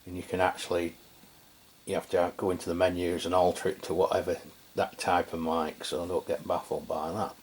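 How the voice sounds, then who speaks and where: A man with an English accent hosting an unscripted product review, talking in a halting, conversational way as he walks through the details. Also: low-pitched, flat delivery, husky. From England. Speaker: radio reviewer, channel host.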